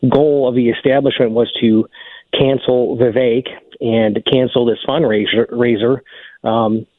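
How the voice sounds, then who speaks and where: A man's voice: mid-range voice, fairly even intonation, unhurried, no room sound. A man giving an interview statement as a spokesperson, on a muffled band-limited recording. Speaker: campaign spokesperson.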